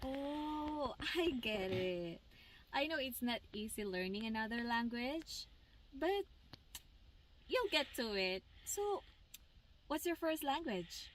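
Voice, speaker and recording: A friendly young drive-thru worker, played by a woman with a high, lively voice that swoops up and down. She talks in an unhurried, upbeat service tone. Her delivery stays warm and patient. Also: slight background noise, full character voice, low-quality recording. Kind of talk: amateur short film acting.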